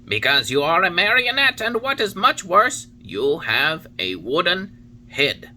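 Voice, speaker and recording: A man voicing a wise old male talking cricket who lectures in solemn, drawn-out warnings. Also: sits high, sing-song, pushed and bright, a little hiss or hum, voice pushed up, exaggerated voice. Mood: scolding.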